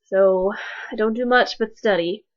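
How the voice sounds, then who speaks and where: A woman's voice: medium pitch, a little slow. A young woman with allergies, her voice stuffy and sick, introducing herself awkwardly and self-deprecatingly with lots of fillers. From Texas. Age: young adult. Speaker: college student vlogger. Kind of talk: casual self-introduction vlog. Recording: dull, lo-fi webcam audio.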